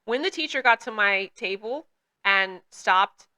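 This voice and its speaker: A woman's voice: medium pitch, lively intonation, unhurried, bright, forceful tone, clean tone, poor audio. A woman whose first language is Portuguese, hosting a casual talk and reflectively telling a personal story in English. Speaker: podcast host; works with children.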